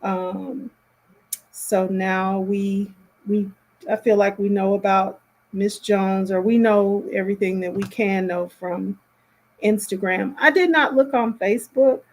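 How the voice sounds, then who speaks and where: A woman hosting a casual live stream, giving commentary in a rambling, halting way as she thinks aloud. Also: mid-range voice, fairly even intonation.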